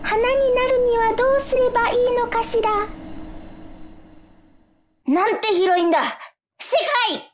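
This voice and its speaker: A woman acting a dreamy, unsure young boy in a radio drama, musing and repeating phrases haltingly. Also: very high voice, noisy background. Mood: wondering. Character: dreamy young boy Hana, unsure and wondering about life. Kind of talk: theatrical radio drama monologue. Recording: music under the voice.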